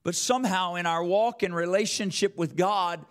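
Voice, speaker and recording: A man's voice: high voice, normal speed, fairly bright tone, clear, resonant tone. A man preaching a sermon as a church elder, speaking emphatically with repeated phrases and rhetorical questions. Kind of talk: sermon with scripture quotation.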